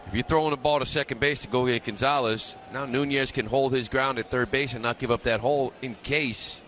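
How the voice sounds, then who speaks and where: A man's voice: mid-range voice, fairly even intonation, fairly quick, fairly bright tone. A male color analyst talks through baseball strategy in an informal way. His voice sits in the middle range. Faint ballpark noise runs behind him on the broadcast. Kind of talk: informal analytical game commentary. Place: stadium broadcast booth.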